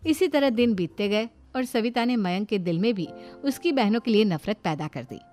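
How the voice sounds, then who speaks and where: A woman's voice: medium pitch, expressive, moderate pace, a little mellow, faint music behind. A woman reading scripted narration for a cartoon family drama story.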